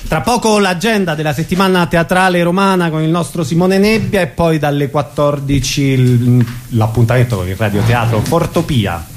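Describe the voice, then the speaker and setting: A man's voice: on the high side, moderate pace, few pauses, soft background music. A man hosting a radio theatre show in a casual, chatty style. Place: radio studio.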